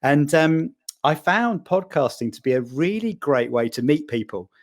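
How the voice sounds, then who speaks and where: A man's voice: a little high, expressive, moderate pace. A man in his forties from Wales, a guest on a podcast, talking in a friendly, rambling way and laughing easily. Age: 40s. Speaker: podcast guest, marketing executive. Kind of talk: casual interview.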